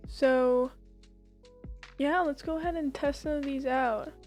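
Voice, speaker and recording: A young woman with a high voice talks through a software tutorial in an informal, step-by-step way. Music plays behind her as she explains. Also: fairly even intonation, unhurried. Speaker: tutorial host.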